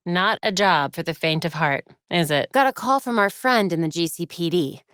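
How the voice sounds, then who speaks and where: A woman voicing a young crime-fighting superheroine in a video game, with an American accent and a wry, crisp, determined delivery. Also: on the low side, normal speed, a bit bright, dry recording, clean studio sound, natural voice. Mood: dry, businesslike. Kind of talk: scripted video game voice lines. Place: recording studio.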